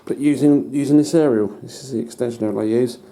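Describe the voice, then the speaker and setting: A British man giving a casual hands-on demonstration as a hobbyist, in a halting, matter-of-fact way. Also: mid-range voice, warm tone. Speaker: hobbyist presenter. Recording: noticeable background noise.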